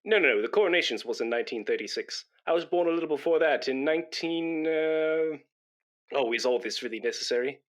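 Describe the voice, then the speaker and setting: Radio comedy role, male actor: self-important, rambling patriot, thirties, highish forceful voice, easily affronted. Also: fairly quick, natural voice. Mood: boastful, rambling. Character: pompous, patriotic, indignant British man of thirty-five.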